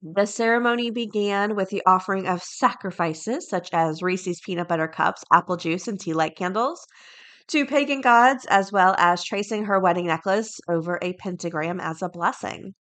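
A woman's voice: medium pitch, normal speed, fairly bright tone. A woman hosting a podcast solo, talking casually and chattily, with informal asides and items read aloud. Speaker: podcast host.

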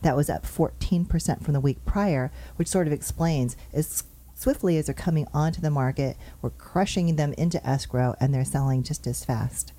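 Low, mellow-voiced woman hosting a show. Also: rough recording. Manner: brisk, upbeat, reels off numbers. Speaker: show host and real estate agent. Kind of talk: chatty show monologue on market figures.

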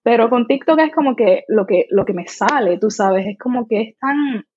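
A young woman with a strong Puerto Rican accent, chatting casually as a guest in a relaxed, informal way with English words mixed in. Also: fairly high pitch, moderate pace, muffled recording. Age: young adult. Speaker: social media creator, interview guest. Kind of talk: casual chat.

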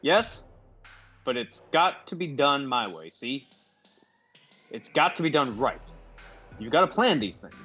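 Slang-slinging screen gangster for a radio comedy, played by a man. Affable yet wary, husky, animated, given to stammers. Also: mid-range voice, measured pace, pauses often, heavily acted. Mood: brisk, conspiratorial. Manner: slangy, clipped, hesitant stammers. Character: tough-talking movie gangster star, affable but wary. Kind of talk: old-time radio comedy play acting. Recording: dull lo-fi audio with music behind.

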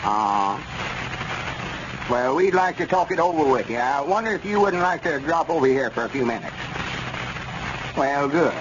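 Actor playing a folksy Southern storekeeper on crackly old radio. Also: male voice, fairly high pitch, lively intonation, moderate pace, long pauses, close to an everyday voice. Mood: cautious, polite. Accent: rural Southern US. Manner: hesitant, drawling, folksy. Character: folksy, cautious small-town storekeeper, an exasperated peacemaker. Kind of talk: 1930s radio comedy acting. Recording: muffled, very noisy 1930s radio recording.

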